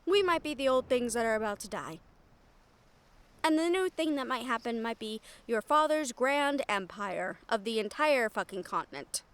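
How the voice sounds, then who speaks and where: Woman voicing a sardonic lady thief for fantasy audio rp, highish voice, nervy but blunt, sighs and dramatic pauses. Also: animated, fairly bright tone, clean tone. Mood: remorseful, grim. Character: wry, foul-mouthed female shadow thief, nervous but frank. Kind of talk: fantasy audio roleplay addressed to listener.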